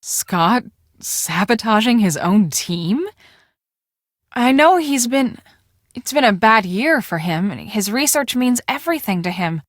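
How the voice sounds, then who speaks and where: An actress playing a brisk, bossy young woman on a storm-chasing team in a video game, speaking emphatically and expressively. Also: a little high, animated, no echo, studio quality. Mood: troubled. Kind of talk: scripted video game dialogue.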